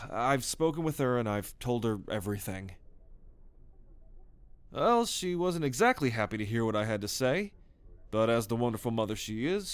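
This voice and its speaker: A man's voice: mid-range voice, no echo, lightly acted. Guy doing an easygoing polite young bro in an audio roleplay, mid voice, dry sound, casual. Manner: casual, courteous. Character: easygoing, polite young man, a supportive brother.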